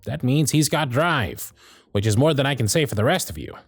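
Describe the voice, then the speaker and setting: Casting: male actor as gruff, fond elf boss for ASMR roleplay, sardonic, very lively intonation, dry close sound, music behind. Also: mid-range voice, brisk, dry recording. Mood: stern, sardonic. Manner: bossy, teasing, sardonic.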